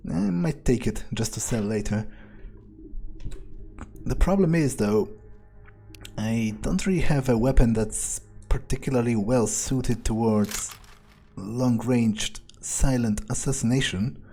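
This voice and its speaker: Video game vampire, played by a man: ruthless, foul-mouthed muser, darkly amused, dark mellow tone, clear music behind. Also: mid-range voice, slow. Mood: musing, darkly amused. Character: ruthless, casually murderous male vampire thinking aloud. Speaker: gaming streamer role-playing his character. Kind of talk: in-character improvised game commentary.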